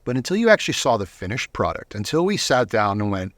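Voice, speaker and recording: Conversational man with dry, mid-pitched voice. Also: very animated, fast talker, fairly bright tone, no room sound. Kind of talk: casual conversational interview.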